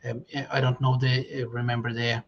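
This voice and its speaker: A man whose first language is Norwegian, speaking English as an interview guest, thoughtful and pausing with fillers. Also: mid-range voice, completely flat delivery, lo-fi sound, slight background noise. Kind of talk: interview conversation.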